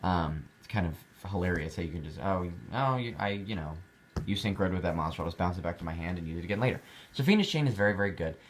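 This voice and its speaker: Young adult man, deep low voice, easygoing hobbyist vlog chat, rambling delivery. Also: expressive, fast, noisy background. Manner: chatty, rambling, with many fillers and restarts. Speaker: card collector showing his cards.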